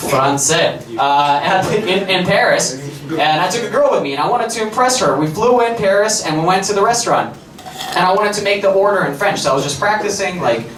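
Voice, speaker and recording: High-voiced Russian comic in a roomy club. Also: male voice, brisk, crowd noise. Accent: light Russian. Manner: deadpan storytelling that bursts into shouted impressions. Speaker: stand-up comedian. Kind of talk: stand-up comedy set. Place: large comedy club stage with a laughing audience. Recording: roomy live hall, heard from far off.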